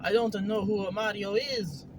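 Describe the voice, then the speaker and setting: A man's voice: sits high, moderate pace, pitched above the performer's own voice, lightly acted. A man voicing an eager, naive, forgetful wanderer in a singsong cartoon-plumber style with simple, broken phrasing, recorded with vehicle noise behind. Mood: earnest, innocent.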